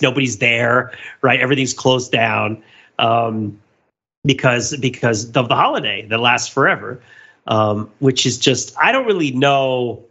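A man's voice: mid-range voice, sharp tone, husky, lo-fi sound. A man hosting a podcast, talking casually in a rambling, analytical way. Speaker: podcast host. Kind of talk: casual discussion of a children's TV special.

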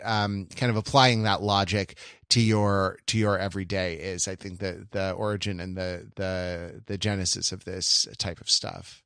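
A man in casual podcast conversation, co-hosting with a halting, digressive manner and frequent self-correction. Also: lowish voice, fairly bright tone, no room sound, studio quality. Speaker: podcast co-host.

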